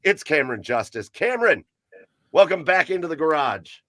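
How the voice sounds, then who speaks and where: A man's voice: fairly high pitch, big pitch swings, normal speed, a bit bright, dry recording. A man hosting a casual live podcast, chatting and reading comments in an upbeat, announcer-like way. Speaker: podcast host. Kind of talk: casual livestream chat.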